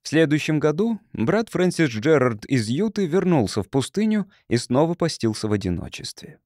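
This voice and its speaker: A man's voice: on the high side, sing-song, moderate pace, a little mellow, no room sound. Male audiobook narrator, close dry sound. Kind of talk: scripted literary narration.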